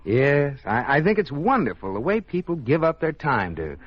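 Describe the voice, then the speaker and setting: An actor playing a self-important, easily flustered husband with an American accent in an old radio sitcom. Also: male voice, fairly high pitch, sing-song, normal speed, slightly rough, no echo. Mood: sheepish, relieved. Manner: pompous, stammering when cornered. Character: self-important banker husband, nervously evasive, protesting too much. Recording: muffled old radio recording, studio audience.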